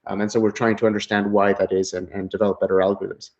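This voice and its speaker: A middle-aged man from Ecuador giving a relaxed conference talk over a video call, with many fillers and restarts. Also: lowish voice, quick pace. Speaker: keynote speaker. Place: home office. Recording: dull lo-fi video call audio.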